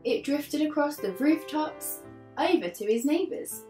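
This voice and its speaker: A woman in her thirties reading a children's story aloud like an infant teacher, expressively, with dramatic pauses between phrases. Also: high voice, animated, dark tone. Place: living room sofa, indoors. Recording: roomy, distant mic, faint music behind.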